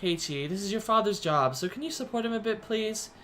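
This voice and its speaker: A woman's voice: medium pitch, expressive, fairly quick, a bit bright, slight background noise, pitched above the performer's own voice, lightly acted. A man voicing a caring, fussy mother who worries over her teenage son. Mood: fussing.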